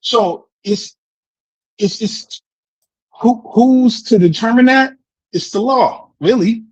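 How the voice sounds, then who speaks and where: An American man in a casual debate, explaining in an animated way, repeating words and often checking with "right?". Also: extremely high pitch, slow pace, breathy edge, dry recording, dull audio. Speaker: discussion participant answering questions.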